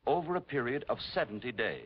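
A middle-aged man presenting a 1950s scripted narration in a measured, formal, dramatic manner. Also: mid-range voice, animated, slightly rough. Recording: dull vintage 1950s film soundtrack, faint hiss.

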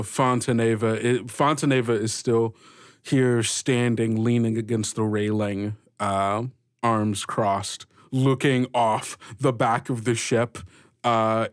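A man narrating a tabletop game as game master, in a halting, improvised way. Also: mid-range voice, no room sound, clean studio sound.

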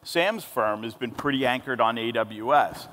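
A man's voice: medium pitch, moderate pace, a bit bright. A man in his forties moderating a conference panel on a large stage, emphatic and upbeat, speaking into a handheld mic. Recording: long hall reverb.

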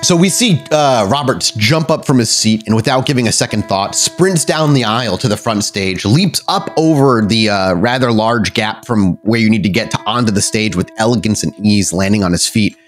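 A man's voice: fairly low pitch, moderate pace, hardly any pauses. Male game master with music behind. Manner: descriptive, dramatic storytelling mixed with casual rules talk. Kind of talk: narrating a tabletop role-playing game.

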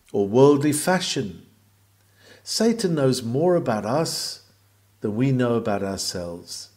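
Male sermon reader, medium pitch, mellow tone, oratorical delivery of Victorian prose with weighted pauses. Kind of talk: dramatic sermon reading of a Victorian text.

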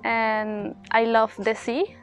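Slow, high-voiced woman from Colombia. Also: level tone, soft background music. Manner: simple, slow phrasing. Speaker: interviewee, language student. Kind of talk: casual interview answers.

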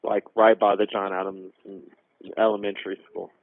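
A young man making an emergency call over a telephone line, giving a halting, informal, rambling account. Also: fairly low pitch, little pitch movement, creaky, dry recording. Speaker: caller reporting a robbery. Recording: tinny narrowband emergency phone line.

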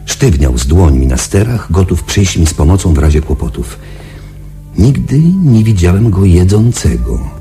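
An actor playing a shaken young space patrol pilot, confiding his story in a halting, dramatic radio-play delivery. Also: deep voice, sing-song, dark tone, soft background music. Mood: subdued, reflective.

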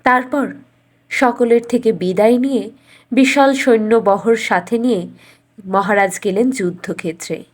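A woman's voice: fairly high pitch, measured pace. A woman reading a scripted fairytale as a storyteller.

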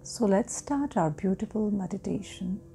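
A woman guiding a sleep hypnosis meditation in a soft, breathy, often near-whispering voice with long pauses. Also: mid-range voice, expressive. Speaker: guided meditation host. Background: meditation music.

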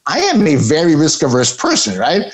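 Lively candid male interview guest. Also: on the high side, normal speed. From Mexico. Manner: candid, self-deprecating. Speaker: podcast guest. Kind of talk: interview conversation.

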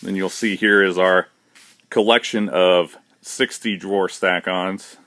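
A man with a low, deep voice walks through a large indoor warehouse, talking in a relaxed, matter-of-fact way with plenty of "uh"s and restarts. He films on a handheld phone, and the sound is close and dry. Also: measured pace, dry recording. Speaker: shop owner giving a warehouse tour. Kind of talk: casual walkthrough narration. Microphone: handheld phone camera, speaker behind it.

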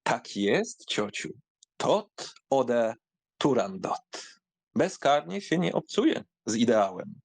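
An actor playing a carefree, mocking young count in a dramatised audiobook, with an ironic, theatrical way of talking. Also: male voice, mid-range voice, big pitch swings, takes it slowly, gravelly, breathy edge, dry recording, full character voice. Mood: teasing, ironic.

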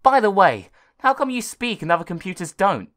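Man doing a cocky schoolboy, raised pitch, loud, defiant, storybook reading. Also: big pitch swings, brisk. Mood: arrogant. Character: arrogant brash young schoolboy.

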